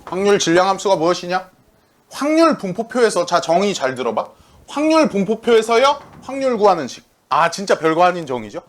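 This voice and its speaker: A man teaching a math class, lively, asking his students questions, answering them himself, and working the arithmetic aloud. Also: high voice, a little fast. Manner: talks through arithmetic aloud.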